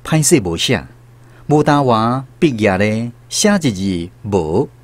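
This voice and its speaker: A man with a Taiwanese Hokkien accent narrating a scripted radio story in an expressive storyteller's style. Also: fairly low pitch, slow, no echo.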